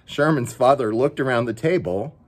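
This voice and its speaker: A man's voice: mid-range voice, normal speed. A man reading a children's picture book aloud as story time host, with playful, chatty asides to his pet dog.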